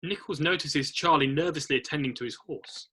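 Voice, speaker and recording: A man narrating a screenplay read-through, reading out scene headings and stage directions in a husky voice. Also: a little high, a little fast, pushed and bright.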